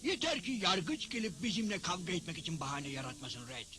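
A man voicing a talkative, indignant cartoon sidekick in a western dub, telling things in an expressive, explanatory way. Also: high-pitched, a little fast, background noise. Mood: indignant, wary. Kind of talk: dubbed cartoon acting.

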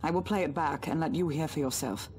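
An actress playing a stern, formal inquisitor in a video game. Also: female voice, deep voice, fast talker, a little mellow, slight background noise, natural voice. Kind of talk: video game character acting.

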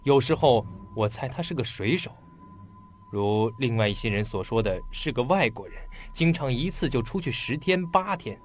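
An actor playing an earnest, polite young police detective in a radio drama. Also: male voice, medium pitch, expressive, normal speed, soft background music, natural voice. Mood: grave, speculative.

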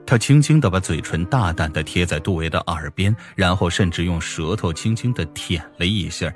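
Low-pitched male audiobook narrator. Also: lively intonation, normal speed. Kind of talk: scripted fantasy novel narration. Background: music behind the voice.

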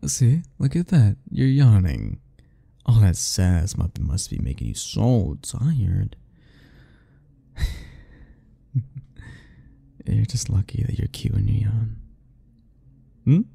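A man's voice: fairly low pitch, big pitch swings, takes it slowly, gravelly, long pauses, dark tone, husky. A young man speaking a playful, teasing ASMR roleplay straight to the listener, laughing often between lines.

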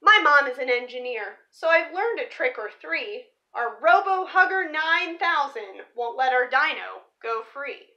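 A young woman presenting to children in an expressive storybook read-aloud style, stressing key words like a teacher. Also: sits high, unhurried, hardly any pauses, muffled recording. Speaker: teacher reading a picture book aloud for children. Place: small living room or room at home, indoors.

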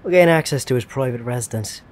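A man's voice: mid-range voice, fast talker, lightly acted. An actor playing a secretive male government agent in an audio drama, terse and dry in manner. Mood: dry, probing.

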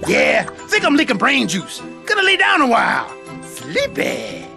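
An actor playing a big, good-natured, dopey animal brute in a game cartoon, with a gravelly voice. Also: male voice, very high voice, huge pitch swings, a little slow, bright, forceful tone, soft background music, full character voice. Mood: dazed. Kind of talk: animated video game cutscene acting.

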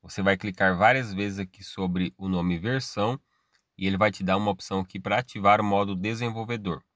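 A man's voice: on the low side, steady tone, fairly quick, a bit bright, dry recording, clean studio sound. A Brazilian man hosting a tech tutorial, walking through steps casually and checking in often. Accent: Brazilian Portuguese. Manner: step-by-step, casual, tag questions. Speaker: tech channel host. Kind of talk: screen-recorded tech tutorial.

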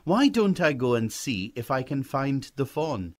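An earnest, pleading country boy, acted out by a man reading a storybook. The voice is raised and lilting, swinging eagerly through each slow, careful phrase. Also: medium pitch, big pitch swings, darkish tone, voice pushed up. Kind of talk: acted audiobook dialogue.

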